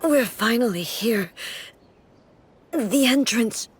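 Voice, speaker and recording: A regal game ruler with a slightly high, unhurried voice. She is formal, solemn and resolute despite weakness. Soft music plays behind her. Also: animated. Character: regal, stoic female ruler, weakened but resolute. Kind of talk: video game voice acting.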